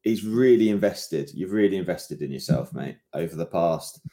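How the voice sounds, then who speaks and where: A man's voice: sits low, expressive, normal speed, dark tone. A man with a British accent hosting a podcast interview in a casual, matey, encouraging way, often calling his guest mate. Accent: British English. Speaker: podcast host.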